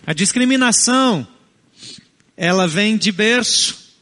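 A Brazilian pastor with a high voice preaches in short, deliberate phrases, and Portuguese is not his first language. His sermon is earnest and he builds each point carefully. The sound has the long reverb of a large hall. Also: animated, bright, forceful tone.

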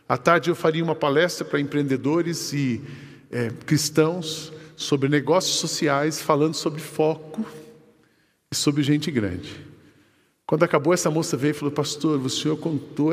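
A man's voice: fairly high pitch, slightly rough. A Brazilian man preaching a sermon as pastor, telling a story and quoting the people in it. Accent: Brazilian Portuguese. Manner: lively storytelling, quoting others in conversation. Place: church hall. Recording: long church hall reverb.

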